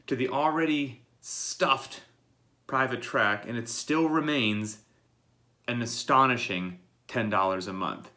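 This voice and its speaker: A man's voice: fairly low pitch, pushed and bright. A man coaching and explaining the day's workout to his members while congested from a cold. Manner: plain, explanatory, talks straight to the listener. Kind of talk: daily workout briefing.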